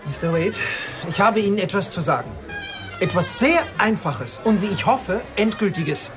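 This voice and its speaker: An actor playing a stern, jealous doctor in a radio drama, speaking in a formal, clipped, strained way. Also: male voice, high-pitched, expressive, normal speed, over music, a crowd in the background. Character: stiff, jealous husband, a stern male doctor.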